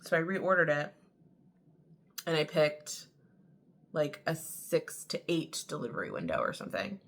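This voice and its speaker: A young woman chatting casually to camera in a vlog, telling a story with lots of "like" fillers; her voice is a little raspy and she sounds tired. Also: low-pitched, big pitch swings, measured pace. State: tired from early waking. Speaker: vlogger.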